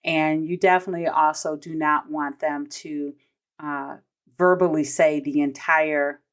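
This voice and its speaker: A woman's voice: sits low, steady tone, measured pace. An American woman hosting an instructional podcast, explaining in a conversational way with emphasis on key points and casual asides. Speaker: podcast host, vendor payments trainer.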